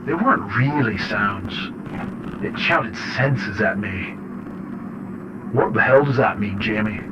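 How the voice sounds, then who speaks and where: A dull recording with clear background noise carries a man acting as an unsettled father keeping an eerie audio journal. His voice is fairly low, and he narrates his horror story in deliberate, halting, reflective phrases. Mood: disturbed, intense. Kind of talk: first-person horror audio diary. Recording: muffled, noisy radio-like audio log.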